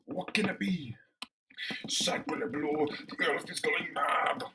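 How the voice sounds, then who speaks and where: A man's voice: a little high, slow pace, pushed and bright, whispered, far from the mic, voice pushed up. A man voicing an alarmed, bewildered desert soldier with a fearful, dramatic manner. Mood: panicked.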